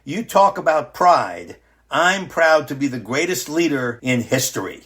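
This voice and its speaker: A man acts out a petulant, self-adoring strongman on the witness stand in a satirical radio play. He brags and rambles with very animated pitch, brushing everyone off. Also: measured pace. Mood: smug, defiant. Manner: bragging, rambling, dismissive. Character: boastful, petulant ex-leader on trial, a swaggering satirical braggart. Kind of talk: satirical radio drama acting.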